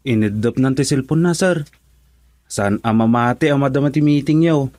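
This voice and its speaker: An actor with an Ilocano Filipino accent playing a nervous, deferential assistant in a radio drama. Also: male voice, mid-range voice, moderate pace, darkish tone, lightly acted. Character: nervous, deferential male assistant named David.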